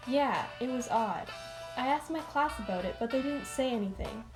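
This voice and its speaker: A woman playing a patient but firm, sometimes frustrated schoolteacher in a radio play, speaking in a measured, polite way. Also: fairly high pitch, expressive, music in the background, natural voice, rough recording. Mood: puzzled, polite. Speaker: actor and narrator in a radio play. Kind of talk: first-person radio drama narration and dialogue.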